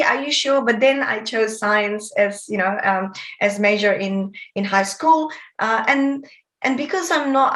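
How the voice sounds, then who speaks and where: A woman's voice: medium pitch, a bit bright. A woman in her thirties from Nepal, a guest answering interview questions in a friendly way, with many fillers and restarts. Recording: roomy echo.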